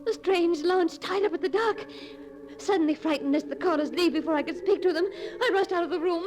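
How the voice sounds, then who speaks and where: An actress playing a desperate, frightened young heiress in 1940s radio melodrama, breathless, halting and urgent. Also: female voice, extremely high pitch, darkish tone. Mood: anxious narrating. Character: terrified, desperate young heiress pleading and narrating her ordeal. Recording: noisy 1940s radio broadcast.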